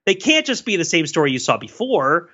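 A man with a fairly high, very bright voice co-hosts a podcast, musing aloud in a loose, rambling way. He restarts his sentences and laughs often as he works through his ideas. The recording sounds dull and lo-fi. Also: big pitch swings, brisk. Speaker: podcast co-host. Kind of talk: casual analytical discussion.

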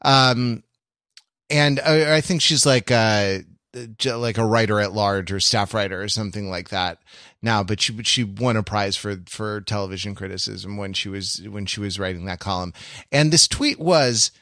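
Halting, wry man in dry close sound. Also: lowish voice, pushed and bright, no room sound. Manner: wordy, halting, circling back mid-sentence. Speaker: podcast host. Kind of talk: casual analytical podcast chat.

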